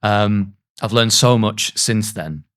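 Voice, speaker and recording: A man's voice: fairly low pitch, slow, a bit bright. A man in his thirties, a voice actor, telling anecdotes in a casual, self-deprecating way as a podcast guest. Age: 30s.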